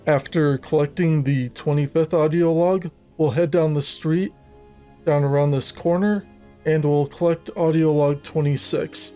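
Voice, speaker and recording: Mellow, slightly high-voiced man narrating a game guide. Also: fairly even intonation, measured pace, clean tone, soft background music. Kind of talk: scripted walkthrough commentary.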